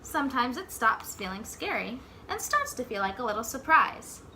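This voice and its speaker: Woman reading as a bright-voiced, caring storybook dad. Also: fairly high pitch, sing-song, normal speed, slight background noise, full character voice. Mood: reassuring. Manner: gentle, encouraging. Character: caring, reassuring father of a little boy. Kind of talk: storybook character voice.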